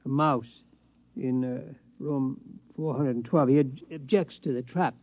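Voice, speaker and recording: A man's voice: mid-range voice, steady tone, measured pace, natural voice. An American man playing a meek, earnest security guard in an old radio drama, stammering and folksy in his deference. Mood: nervous, deferential. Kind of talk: 1950s radio drama acting, first-person storytelling. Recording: muffled, noisy old radio recording.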